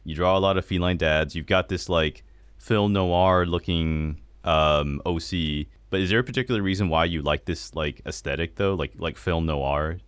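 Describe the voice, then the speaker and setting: An American man hosting a podcast, chatting in a relaxed way with plenty of filler words. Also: low voice, normal speed, clear, resonant tone, dry recording. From United States. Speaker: podcast host. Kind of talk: casual conversation.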